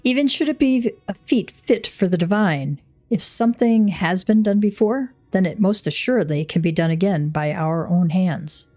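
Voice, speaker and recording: A woman voicing a wise, composed mentor in a video game, with measured, lofty, old-fashioned phrasing. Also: mid-range voice, expressive, warm tone, soft background music, lightly acted. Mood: reassuring. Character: wise, composed, archaic-spoken encouraging mentor.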